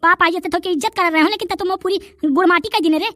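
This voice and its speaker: A man's voice: extremely high pitch, fast talker, sharp tone, no room sound, clean studio sound. A man voicing a brash young village tough in a cartoon comedy, scolding and taunting in rustic Bundelkhandi speech. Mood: scolding, threatening.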